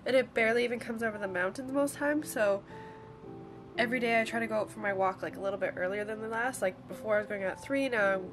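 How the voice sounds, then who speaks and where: A young woman in her twenties vlogging casually from her cozy wooden home, chatty and upbeat and a little hoarse from a cold. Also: on the high side, fast, over music. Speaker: vlogger and songwriter. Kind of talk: casual chat to camera. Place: cozy wooden home kitchen and living room.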